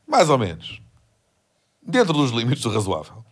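A man's voice: medium pitch, huge pitch swings, moderate pace, breathy edge. A Portuguese man co-hosting a comic sports chat, ranting and swearing as he argues. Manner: ranting, swearing, mimics others. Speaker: podcast co-host. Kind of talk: heated comic rant.

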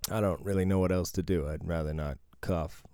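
A man's voice: low voice, steady tone, a little fast, warm tone, smooth, no room sound, studio quality. A man co-hosting a casual podcast from a friend's bedroom, cracking jokes and laughing often while nursing a cough and a cough drop. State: has a cough, sucking a cough drop. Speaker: podcast co-host. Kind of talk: casual joking chat.

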